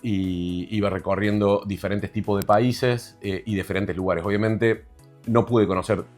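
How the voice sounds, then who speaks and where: A man's voice: on the low side, steady tone, moderate pace, darkish tone, soft background music. A man in his forties from Argentina, telling his story as an interview guest with many fillers and restarts. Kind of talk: conversational interview.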